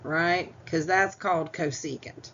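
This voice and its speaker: A woman math teacher talks through the steps slowly, in a lowish voice with a stuffy nose. She explains patiently and clears her throat at times. The recording is dull and lo-fi, with a faint hum behind her. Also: fairly bright tone. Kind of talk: recorded lecture.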